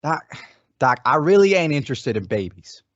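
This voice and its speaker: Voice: mid-range voice, very animated, normal speed, no echo, lo-fi sound, natural voice. A performer in an old-time western radio play, voicing a worried, folksy cowboy sidekick with a rustic drawl. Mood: exasperated.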